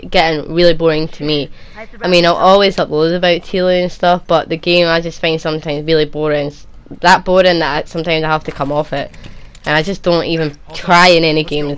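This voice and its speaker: A woman's voice: on the low side, slight background noise. A young woman with a Scottish accent from Edinburgh, answering viewer questions in a chatty, rambling way. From Edinburgh, Scotland. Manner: chatty, rambling, lots of restarts and "like". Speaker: gaming YouTuber. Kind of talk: casual Q&A chat.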